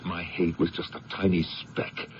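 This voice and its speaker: An actor playing a middle-aged district attorney, earnest, defensive and haunted, in a 1970s radio drama. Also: male voice, deep voice, flat delivery, a little slow. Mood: bitter. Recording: noisy, muffled 1970s radio broadcast recording.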